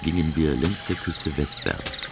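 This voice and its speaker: A man's voice: very deep voice, creaky, very noisy, heavily acted. A man playing a refined, reflective professor who narrates his adventure in literary style for a German radio drama. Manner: literary, measured.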